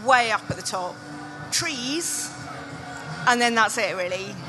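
A woman's voice: a little high, lively intonation, takes it slowly, background noise. A British woman, a nature writer, talking informally in a live on-stage conversation. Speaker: nature writer and podcast host. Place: festival stage.

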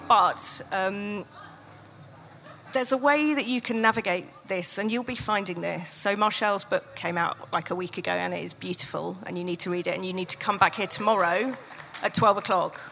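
A woman's voice: medium pitch, normal speed, gravelly, sharp tone, a little hiss or hum. A woman speaking candidly and reflectively in an unscripted live on-stage interview as an author and podcaster.

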